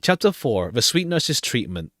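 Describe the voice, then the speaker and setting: A man's voice: medium pitch, very animated, moderate pace, fairly bright tone, no room sound, studio quality. A man streaming a visual novel, reading the game text aloud and adding casual commentary.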